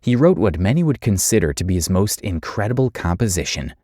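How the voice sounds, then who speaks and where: Low-voiced man narrating a documentary over music. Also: big pitch swings, brisk, dark tone, no room sound, clean studio sound.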